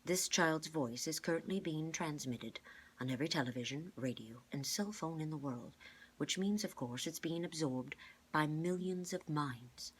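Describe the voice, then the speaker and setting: A woman voicing a calm, clever older man in a storybook, measured and formal as he explains. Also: low-pitched, slightly rough. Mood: patient explaining.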